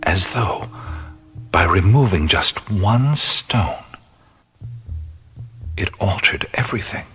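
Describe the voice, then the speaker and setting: A weary adventurer-mystic in a radio play. His husky, bright voice moves slowly with lively rises and falls, often dropping to a hush. Long reverb and faint music surround him. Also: sits low, exaggerated voice. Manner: slow, reflective, often hushed. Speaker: lead character.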